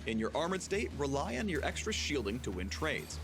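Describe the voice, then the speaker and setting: A man's voice: fairly high pitch, sing-song, fairly quick. A man reading scripted promotional narration for a game guide in a polished, punchy, playful way. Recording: music bed under narration.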